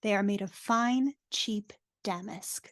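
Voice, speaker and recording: A woman's voice: medium pitch, slow pace, no echo, clean studio sound. A woman narrating stage directions for a live dramatic reading in a wry, informal way.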